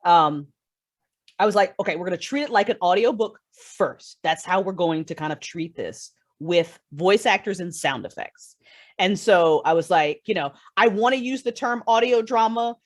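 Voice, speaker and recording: A woman's voice: mid-range voice, normal speed. A woman being interviewed as a creative guest, talking casually and candidly in a rambling, emphatic way. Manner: rambling, emphatic, freely swearing. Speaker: guest, audio drama writer and creator.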